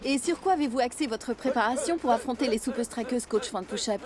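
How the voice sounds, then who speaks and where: An actress playing a crisp television sports reporter conducting an interview in a French-dubbed cartoon. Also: female voice, fairly high pitch, fast talker, soft background music, lightly acted. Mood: inquisitive.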